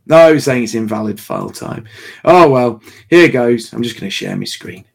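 A man with a slightly hoarse, tired voice chatting casually and haltingly as the host of a livestream. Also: medium pitch, lively intonation. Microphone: livestream call microphone.

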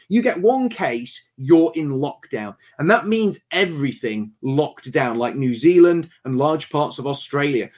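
A man hosting a talk show, speaking emphatically and repeating words for stress. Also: fairly high pitch. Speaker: show host.

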